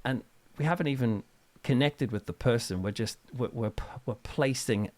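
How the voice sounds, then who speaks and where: A middle-aged British man chatting casually as an interview guest, telling anecdotes in an informal, animated way. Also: mid-range voice, moderate pace, no echo. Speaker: interview guest, author and reverend.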